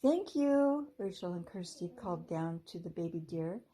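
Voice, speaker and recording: A woman teacher reading a children's chapter book aloud in a gentle storytelling manner. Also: lowish voice, level tone, moderate pace, low-quality recording.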